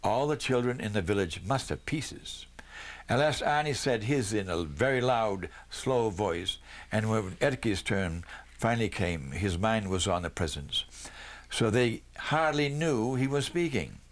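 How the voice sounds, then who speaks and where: A man's voice: lowish voice, animated, measured pace. Man reading a children's Christmas story aloud. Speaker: storybook reader.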